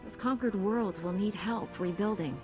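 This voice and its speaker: A woman's voice: medium pitch, normal speed, over music, natural voice. A woman playing a resolute, confident young Jedi knight in a video game, with a firm, earnest delivery. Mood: determined. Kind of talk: scripted game dialogue.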